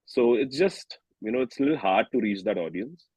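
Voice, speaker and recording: A man's voice: mid-range voice, expressive, a little fast, darkish tone, breathy edge, dry recording, rough recording. A young man with an Indian accent, chatting earnestly and reflectively as an interview guest, often saying "you know". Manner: earnest, reflective, peppered with 'you know'. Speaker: interview guest, singer-songwriter. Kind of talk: casual interview chat.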